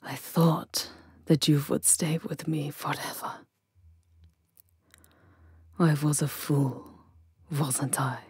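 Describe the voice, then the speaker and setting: Give this a possessive, imperious vampire countess, acted by a woman, in a theatrical ASMR roleplay. Her voice sits slightly low and is very husky and breathy. She takes her time, drawing out phrases with seductive aristocratic grandeur. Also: steady tone, a little mellow, no echo, clean studio sound, full character voice. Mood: hurt, bitter. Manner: theatrical, deliberate, drawn-out phrasing with breathy whispers.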